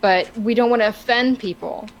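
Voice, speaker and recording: A woman co-hosting a podcast in casual, opinionated discussion, halting and stammering as she searches for words. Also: on the high side, dull audio. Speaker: podcast co-host. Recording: clear background noise.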